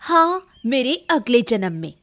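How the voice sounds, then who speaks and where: A blunt, practical village wife played by a woman for a cartoon story. Her voice is fairly high and moves up and down a lot. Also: big pitch swings, unhurried, fairly bright tone. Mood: scornful, nagging. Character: nagging, practical village daughter-in-law and wife.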